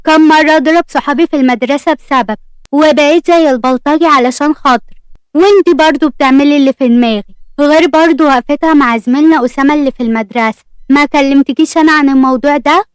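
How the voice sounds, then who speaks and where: A woman's voice: sits high, fast talker, no echo, close to an everyday voice. A woman voicing a bossy, hot-tempered schoolboy who speaks like a little grown-up, in an audio story. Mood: angry, scolding. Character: stern, possessive young schoolboy, hot-tempered but composed.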